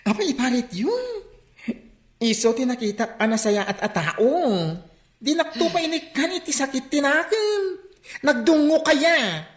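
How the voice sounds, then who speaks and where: A woman's voice: high-pitched, sing-song, unhurried, echoey room. A woman playing an affectionate, insistent young adult in a Filipino radio drama, talking colloquially. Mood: defensive. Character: affectionate young adult defending a sweetheart against parent.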